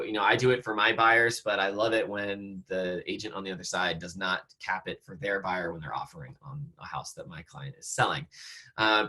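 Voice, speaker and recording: A man with an American accent, slightly hoarse, teaching real estate agents over a video call in a casual way, with lots of fillers and restarts. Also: lowish voice, bright, forceful tone. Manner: conversational, many fillers and restarts. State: slightly hoarse, losing his voice. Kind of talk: online training talk.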